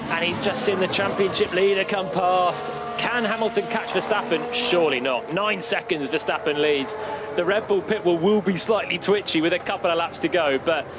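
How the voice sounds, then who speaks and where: A man's voice: high-pitched, normal speed, background noise. A man giving live analysis of a motor race as co-commentator.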